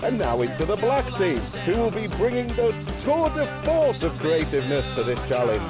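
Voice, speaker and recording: A man's voice: sits high, very animated, moderate pace. A man narrating a TV show in an upbeat, scripted voice-over. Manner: upbeat, presenting. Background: music.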